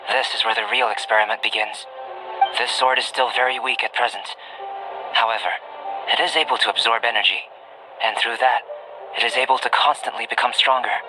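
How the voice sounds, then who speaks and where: An actor playing a calm, curious young male alchemist in a video game, speaking in a measured, detached and articulate scholarly way. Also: medium pitch, lightly acted, rough recording. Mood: intrigued, composed. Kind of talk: scripted video game voice acting. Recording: music behind the voice.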